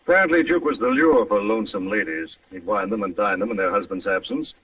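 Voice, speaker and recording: A man acting a shrewd, composed gentleman detective in an old radio drama. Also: fairly low pitch, fast, slightly rough. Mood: explanatory, assured. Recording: muffled old radio recording, distant and roomy.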